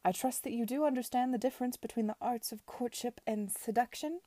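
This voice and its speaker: A woman's voice: on the high side, fairly quick, dark tone, no room sound, voice pushed up, natural voice. A woman voicing an imperious older aristocratic aunt who speaks curtly and condescendingly. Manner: commanding, curt, condescending.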